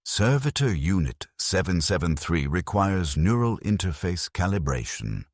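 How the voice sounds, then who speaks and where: A man's voice: low voice, sing-song, unhurried, no echo, clean studio sound, exaggerated voice. A man voicing a cold cyborg tech-priest instructor in a story, clipped, clinical and commanding.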